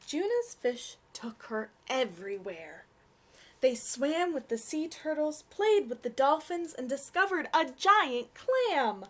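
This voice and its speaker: Young woman, children's story reader: high, lively intonation, gentle, takes her time. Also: takes it slowly. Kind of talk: read-aloud storybook narration for children.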